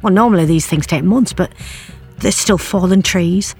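A woman's voice: fairly low pitch, moderate pace, darkish tone, slightly rough, faint music behind. An actress playing a bereaved middle-aged mother in an audio drama, confiding her story in a plain-spoken, wry and bitter way. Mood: weary, bitter. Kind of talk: audio drama narration, intimate monologue.

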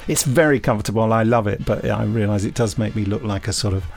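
A man's voice: lowish voice, quick pace, vocal fry, darkish tone, soft background music. A man, co-hosting a podcast in casual, playful chat and often laughing at himself. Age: 50s. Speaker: podcast co-host.

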